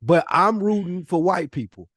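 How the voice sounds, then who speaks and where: A man's voice: high-pitched, animated, moderate pace, a bit bright, breathy edge, no room sound, clean studio sound. A man from the United States talking as a podcast host in casual, opinionated chat, slangy and emphatic in his delivery.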